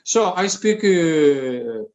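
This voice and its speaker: A man with a Brazilian Portuguese accent speaking English as a second language, halting and repeating words with many fillers in a casual interview. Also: a little high, animated, darkish tone, muffled recording. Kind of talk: casual conversation.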